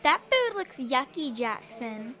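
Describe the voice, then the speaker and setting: Bright, high-pitched cheeky little girl, voiced by a woman. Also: sing-song, slow pace, full character voice. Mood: cheeky, playful. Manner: childlike, cheeky. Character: Willow, cheeky five-year-old little girl. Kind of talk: character acting in amateur audio fiction.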